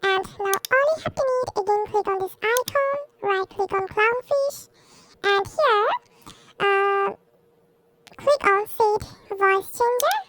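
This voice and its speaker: A woman narrating a software tutorial through a pitched-up voice changer, sounding like a childlike instructor. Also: takes it slowly, slight background noise, heavily acted. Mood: instructional.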